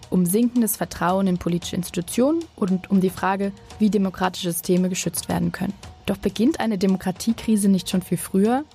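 A woman narrating a podcast in a measured, even, informative way from a script. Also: mid-range voice.

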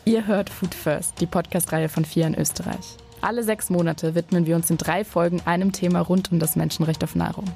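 Woman, podcast narrator, scripted, low voice, warm dark tone, measured. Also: creaky, faint music behind. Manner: measured, even, informative delivery.

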